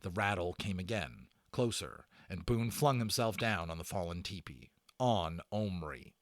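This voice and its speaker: A man narrating a children's adventure novel aloud as a dramatic read-aloud, with theatrical emphasis and deliberate pauses. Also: sits low, lively intonation, no echo, clean studio sound. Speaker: audiobook narrator and channel host.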